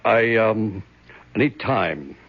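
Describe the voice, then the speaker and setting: Low, husky commanding senator. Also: male voice, lively intonation, slow, full character voice. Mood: tense, guarded. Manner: terse, commanding. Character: strained, authoritative male US senator under blackmail. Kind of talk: 1970s radio drama acting. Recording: dull 1970s radio broadcast, music behind.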